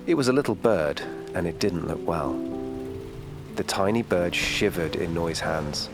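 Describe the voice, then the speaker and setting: Deep voiced guy reading a kids bedtime story, calm n gentle, guitar music behind him. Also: measured pace. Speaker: children's story reader.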